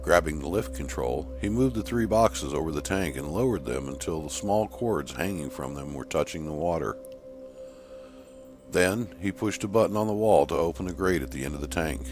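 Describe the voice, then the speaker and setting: A man's voice: rumbling low, lively intonation, moderate pace, over music. A man reading a scripted horror story as an audiobook narrator.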